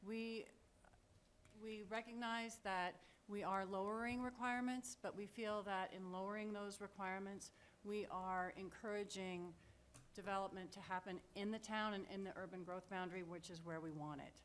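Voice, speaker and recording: A woman addressing a town council for a board, brief and direct. Her voice sits at a middle pitch. Clear background noise runs through the room. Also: steady tone, moderate pace, echoey room. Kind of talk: council meeting remarks.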